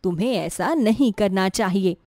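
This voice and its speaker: A woman voicing a caring middle-aged mother who gently scolds and soothes in an earnest way. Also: mid-range voice, normal speed, no echo, clean studio sound, voice pushed down, natural voice. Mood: reproving.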